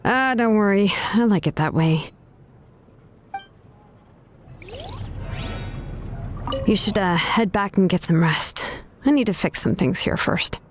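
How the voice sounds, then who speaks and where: A woman's voice: medium pitch, lively intonation, moderate pace, a little hiss or hum, natural voice. A woman voicing a weary, exacting but easygoing blacksmith in a video game, casual and considerate. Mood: tired, reassuring.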